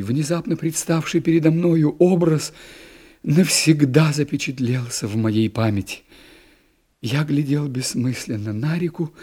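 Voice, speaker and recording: Expressive male narrator of classic prose. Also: on the high side, breathy edge. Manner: expressive, dramatic swings between raised and hushed delivery. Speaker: audiobook narrator. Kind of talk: classic literary audiobook reading.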